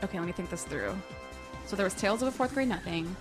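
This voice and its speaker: A woman co-hosting a podcast in casual banter, talking in an animated, giggly, expressive way. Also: mid-range voice, fast, over music. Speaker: podcast co-host. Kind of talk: casual banter between co-hosts.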